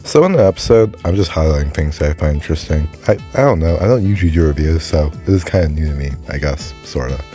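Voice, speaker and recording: A young man talking casually into a phone, reviewing a cartoon episode in a monotone, hesitant way with many fillers. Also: rumbling low, quick pace, hardly any pauses, music in the background. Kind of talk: casual voice-over commentary.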